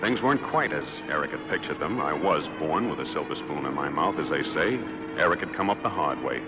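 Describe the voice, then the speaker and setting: An actor playing a soft, well-off, uneasy man who narrates and speaks in a 1940s radio suspense drama. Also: low-pitched. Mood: reflective, wary. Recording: muffled vintage 1940s radio, music behind.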